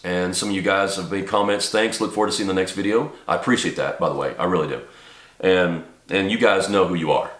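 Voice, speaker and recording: A man with a very low voice talks to camera, a little stuffed up from a cold. He rambles earnestly, with lots of ums and restarts. The room around him is echoey. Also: fairly bright tone. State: congested from a cold. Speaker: channel host and small business owner. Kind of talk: casual talk to camera. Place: home office.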